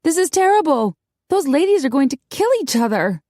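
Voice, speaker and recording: An anxious, responsible young woman, played by a female narrator in a storybook tale. She speaks urgently in a raised, very animated voice that still has a soft edge. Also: fairly high pitch, measured pace, no echo, clean studio sound, voice pushed up. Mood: dismayed.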